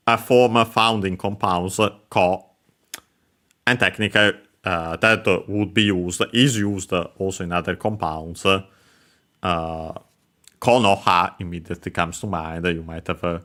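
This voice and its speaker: A man explaining Japanese grammar, pausing often with filler sounds as he works through each word. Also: low voice, fairly even intonation, bright, forceful tone. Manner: hesitant, many filler sounds, careful explanation. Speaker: Japanese language teacher. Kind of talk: explaining grammar over gameplay.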